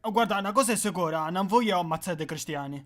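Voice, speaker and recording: A woman's voice: on the low side, fast, fairly bright tone, natural voice. A woman voicing a foul-mouthed, exasperated boy wizard with a Sicilian accent, melodramatic, in an amateur parody dub.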